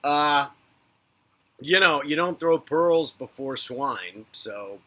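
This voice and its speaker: A man hosting his own unscripted spiritual talk as a psychic medium, rambling and candid, swearing freely and swinging between loud rants and soft murmurs. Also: a little high, animated, slow. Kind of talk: unscripted spiritual monologue to camera. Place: based in a big city.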